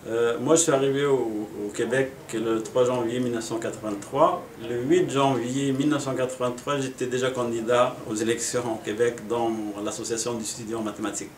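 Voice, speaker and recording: Middle-aged man from Morocco, earnest candidate, measured answers, echoey room. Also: medium pitch, steady tone, slight background noise. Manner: earnest, measured, with frequent pauses and fillers. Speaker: municipal election candidate. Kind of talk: interview answers.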